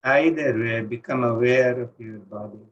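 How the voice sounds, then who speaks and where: Man, Indian accent, meditation teacher, calm deliberate phrasing, frequent pauses, mid-pitched voice, muffled lo-fi online recording. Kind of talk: guided meditation instruction. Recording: dull, lo-fi online session audio.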